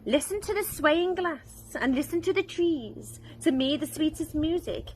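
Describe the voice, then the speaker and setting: A woman's voice: high-pitched, animated, a little slow, a little mellow, slight background noise, voice pushed up, low-quality recording. A woman voicing a polite, kindly and wise little cricket in a storybook. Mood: gentle, encouraging.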